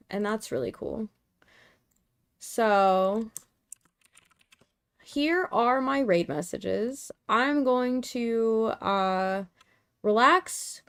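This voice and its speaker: A woman streamer chatting casually and rambling with lots of fillers, tired and with her voice going hoarse. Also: a little high. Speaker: craft streamer. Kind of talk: casual livestream chat.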